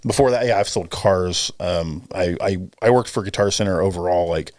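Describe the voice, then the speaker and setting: A man's voice: low voice, darkish tone, no echo, studio quality. A man in his thirties, a guest on a podcast, talking in a rambling casual way with many restarts. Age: 30s. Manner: rambling, quick, full of restarts. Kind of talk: casual chat.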